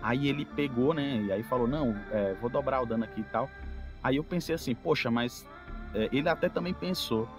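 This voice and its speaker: A man's voice: medium pitch, a little fast. A man with a Brazilian accent explaining examples casually on a podcast, often checking in with tag questions. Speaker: podcast speaker. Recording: prominent music behind the voice. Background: music.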